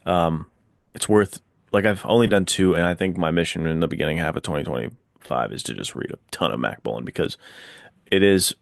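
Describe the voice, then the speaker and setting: A man with a fairly low voice, recorded dry without room sound. He hosts a casual book chat and talks with informal, enthusiastic slang. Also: a little fast, gravelly, no echo. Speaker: podcast host, writer.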